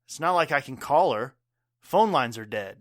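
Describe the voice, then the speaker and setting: A man's voice: mid-range voice, lively intonation, moderate pace, no room sound, clean studio sound, natural voice. An actor playing a proud, wounded war veteran father and bartender in an audio drama, speaking earnestly and tersely. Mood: pained, proud.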